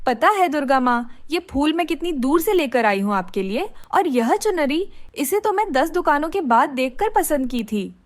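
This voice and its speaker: Woman playing high-pitched, earnest, devout young daughter. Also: animated, moderate pace, few pauses, dry recording, clean studio sound. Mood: devoted, cheerful. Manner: earnest, emotional, pleading. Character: devout, pleading, mistreated young daughter, dark-skinned. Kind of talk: dramatized Hindi moral story acting.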